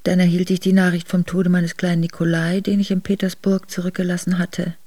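A woman's voice: lowish voice, level tone, brisk, mellow, no room sound, natural voice. A woman playing a noblewoman who soberly recounts sorrowful memories of exile in a radio drama. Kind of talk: radio drama memoir narration.

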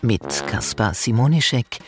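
A man's voice: medium pitch, lively intonation, measured pace. A man reading radio credits as a formal, scripted announcement. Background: music.

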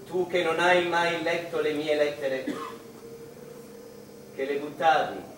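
A man playing a remembered parent in a theatrical radio drama, gently reproachful and knowing, measured in manner. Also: fairly high pitch, steady tone, slight background noise. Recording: very echoey, distant, music behind.

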